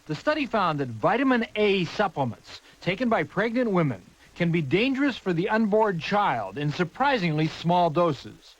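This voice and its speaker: A man's voice: sits high, very animated, normal speed, hardly any pauses, highs cut off. A man narrating a scripted television health news report.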